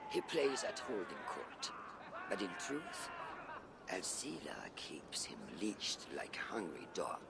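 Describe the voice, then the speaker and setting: Deep, gravelly old assassin mentor. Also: male voice, huge pitch swings, very noisy, exaggerated voice. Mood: low, scheming. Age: older. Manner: measured, deliberate, formal and archaic phrasing. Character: seasoned older male assassin mentor, shrewd, patient, condescending. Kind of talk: dramatic video game dialogue.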